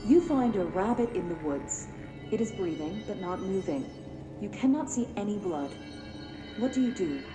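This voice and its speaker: A woman playing an impassive doctor in a game, reading formal psychological assessment questions in a measured way. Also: medium pitch, expressive, lightly acted. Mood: detached, impassive. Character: detached, clinical woman doctor running psychological evaluation. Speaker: psychological examiner. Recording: echoey room, music behind.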